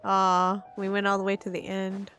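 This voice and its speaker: A woman's voice: medium pitch, completely flat delivery, normal speed, fairly bright tone, faint music behind. A woman game streamer giving casual live commentary on a playthrough.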